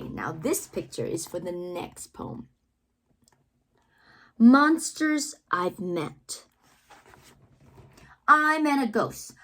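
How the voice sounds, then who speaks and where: Woman, children's read-aloud, slow pace, very animated pitch, theatrical delivery, drawn-out words.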